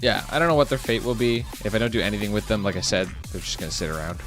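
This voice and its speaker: A man who makes an animated series, chatting casually on a live stream at his desk, rambling with many fillers and restarts. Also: on the low side, rapid-fire, music in the background. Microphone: webcam setup at a desk.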